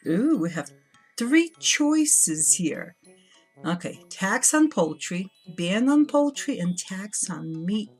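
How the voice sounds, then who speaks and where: A woman chats casually during a let's play and reads game text aloud in a measured, lightly theatrical way. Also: mid-range voice, big pitch swings, slightly rough. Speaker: guest co-player. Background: background game music.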